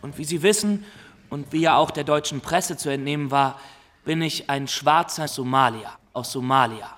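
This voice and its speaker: Actor as formally polite Somali defendant, higher-pitched. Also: male voice, a bit bright, natural voice. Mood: formal, composed. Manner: formal, deliberate courtroom address. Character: formally polite Somali fisherman on trial as pirate. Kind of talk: radio drama acting.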